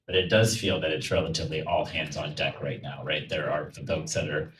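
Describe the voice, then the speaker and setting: A man's voice: very deep voice, little pitch movement, a little fast. A man moderating a panel in a casual, reflective and wordy way, often restarting his sentences. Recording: echoey event room, distant mic, noise.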